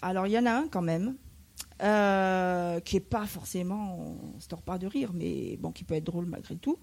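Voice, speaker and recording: A woman's voice: lowish voice, normal speed, vocal fry, darkish tone, husky. A woman from the south of France with a slight southern French accent, a Spanish teacher answering interview questions with many hesitant fillers.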